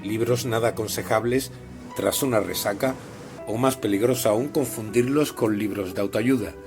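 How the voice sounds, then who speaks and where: A man's voice: fairly low pitch, normal speed, music in the background. A man hosting a scripted interview in a formal, courteous manner. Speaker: host and interviewer.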